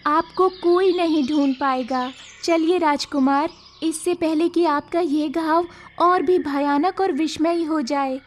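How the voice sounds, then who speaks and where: A woman voicing a kind, brave young village woman in a cartoon story, earnest and expressive. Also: slight background noise. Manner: earnest, expressive, high-voiced. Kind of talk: Hindi cartoon moral story dialogue.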